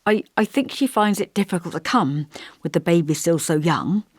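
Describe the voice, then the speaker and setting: A courteous, reserved woman of twenty in audiobook dialogue, voiced by a woman reader. The manner is plain-spoken and a little hesitant. The pitch is expressive and the recording is dry. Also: moderate pace, no echo. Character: polite, reserved twenty-year-old woman, Abigail.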